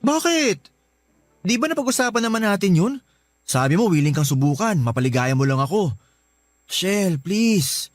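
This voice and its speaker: Radio drama lover: pleading, coaxing man, swooping intonation, dry recording with soft music underneath. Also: high voice, big pitch swings, moderate pace, long pauses, no room sound. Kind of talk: Filipino radio drama acting.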